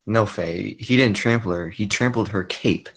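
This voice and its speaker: A man playing a quiet, lovelorn but stubborn circus man in a 1940s radio drama, hesitant and repeating himself. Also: fairly low pitch, moderate pace, slightly rough. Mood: accusing.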